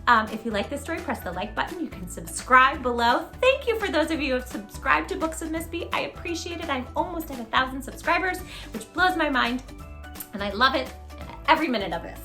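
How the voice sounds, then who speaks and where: Bright, high-voiced woman reading to children. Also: a little fast, soft background music. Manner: expressive, encouraging, emphatic, checks in with "right?". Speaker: storybook reader, channel host. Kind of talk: children's read-aloud with asides to young listeners.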